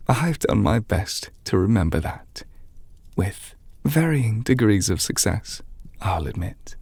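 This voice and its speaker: A very breathy, half-whispered male voice with a creaky edge, recorded close and dry. A man plays a gentle magic teacher in an ASMR roleplay. He speaks in measured, slightly formal phrases, patient and reassuring toward his apprentice. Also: lowish voice, big pitch swings, dry recording, full character voice. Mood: quiet, wistful. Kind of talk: ASMR roleplay, softly spoken to the listener. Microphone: close mic, dry.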